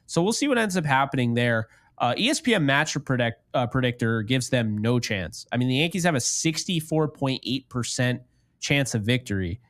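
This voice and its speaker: A man's voice: medium pitch, lively intonation, a little fast, fairly bright tone, dry recording. A man in his late twenties chatting casually as co-host of a sports talk show. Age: late 20s.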